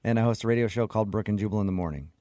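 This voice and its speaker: A man's voice: on the low side, rapid-fire, mellow, slight background noise. A man hosting a radio show segment, chatting with a caller on the phone from a studio microphone. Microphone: close studio radio microphone.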